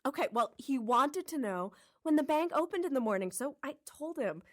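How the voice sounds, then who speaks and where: A woman's voice: high-pitched, animated, normal speed, fairly bright tone. A woman voicing a dreamy, chatty young bank teller. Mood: gushing. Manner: gushing, chatty.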